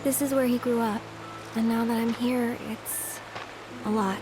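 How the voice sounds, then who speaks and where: High-voiced, candid young woman played by an actress. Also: fairly even intonation, measured pace, music in the background, lightly acted, low-quality recording. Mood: regretful, wistful. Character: candid, warm-hearted young woman, wistful and gently teasing. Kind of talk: English-language video game voice acting.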